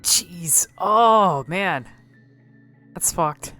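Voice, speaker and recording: A woman giving casual, unscripted game commentary, thinking aloud haltingly and swearing freely. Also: fairly low pitch, animated, pushed and bright, soft background music.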